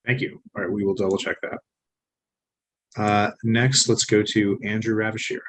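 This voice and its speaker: A man's voice: on the low side, fairly even intonation, moderate pace, slight background noise. A man chairing a community meeting, calling the next speakers in a brief, procedural way.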